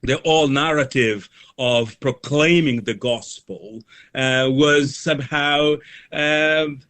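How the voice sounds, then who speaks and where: A man speaking as an interview guest, explaining things in formal, academic wording with halting delivery. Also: mid-range voice, fairly bright tone. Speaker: guest, reverend and mission organisation officer. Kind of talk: considered explanatory interview answers.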